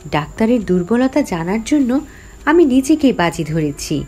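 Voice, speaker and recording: A woman plays a cunning young heroine in a horror cartoon, narrating her ordeal. Her voice is fairly high and swoops widely, theatrical and defiant, over a soft music bed. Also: big pitch swings, normal speed. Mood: tense narration. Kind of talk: dramatic first-person horror story narration.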